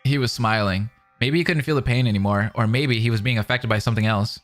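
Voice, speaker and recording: A man reading narration dramatically and adding excited commentary as a reaction streamer. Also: mid-range voice, quick pace. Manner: animated, dramatic. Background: faint music.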